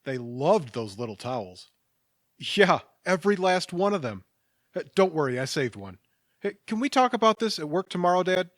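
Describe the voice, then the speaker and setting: Actor as a wry, kindly man, lively pitch. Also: normal speed, no echo. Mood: casual, slightly harried. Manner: dry-witted, conversational. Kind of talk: audio theatre acting.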